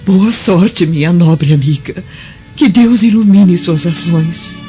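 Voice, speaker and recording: A woman playing a gentle, devout and loyal servant in a radio drama. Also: fairly low pitch, takes it slowly. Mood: tender blessing. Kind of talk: Brazilian radio drama acting. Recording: dull lo-fi radio drama with music.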